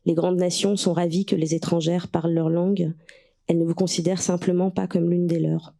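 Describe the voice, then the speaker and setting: A woman author from Russia, whose first language is Russian, reads her own prose in a measured way and then answers questions hesitantly, searching for words. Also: on the low side, flat delivery, gravelly, mellow.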